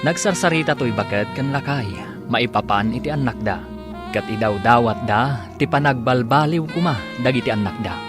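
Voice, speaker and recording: A man's voice: mid-range voice, moderate pace. Male radio drama announcer over music. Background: music under the voice.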